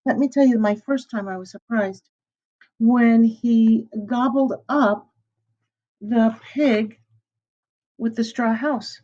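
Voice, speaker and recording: A woman's voice: on the high side, slow pace, long pauses. A middle-aged woman teacher reading a storybook aloud to children and stopping to explain words. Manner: warm, explaining word meanings to children. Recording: slightly dull lo-fi recording.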